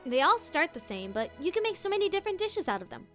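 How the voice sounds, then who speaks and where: A woman's voice: very high-pitched, sing-song, fast talker, pushed and bright, soft background music. A woman hosting a scripted, playful tutorial as a voice actor, with theatrical emphasis. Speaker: voice actor and video host.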